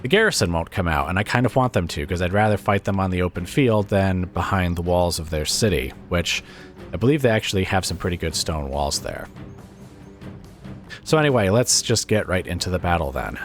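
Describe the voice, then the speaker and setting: Deep raspy man musing over a strategy game. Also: lively intonation, brisk, faint music behind. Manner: musing, hesitant, thinks aloud with fillers. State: raspy, weakened voice from illness. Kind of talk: casual gameplay commentary.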